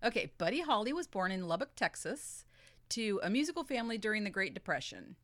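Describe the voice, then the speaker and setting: A woman's voice: medium pitch, very animated, fast, fairly bright tone, no room sound. A young woman co-hosting a casual podcast, telling a music history story in a dry, matter-of-fact way. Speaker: podcast co-host. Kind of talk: casual chat, reading history notes.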